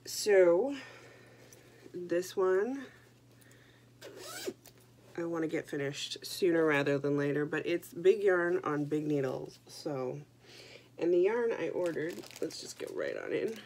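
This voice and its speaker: A woman's voice: deep voice, animated, slow pace, long pauses, darkish tone, a little hiss or hum. A young woman chatting casually to camera about her knitting, stuffy from a head cold, with joking asides. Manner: chatty, self-deprecating, jokey asides. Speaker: knitting podcast host.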